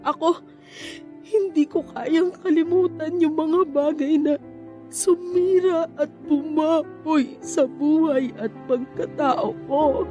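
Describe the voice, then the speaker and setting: Radio drama mother, female actor: Filipino accent, high but mellow, guilt-ridden, halting and tearful. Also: muffled recording, faint music behind. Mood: anguished, tearful. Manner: halting, repeating words, tearful. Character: anguished, guilt-ridden mother confessing to her daughter.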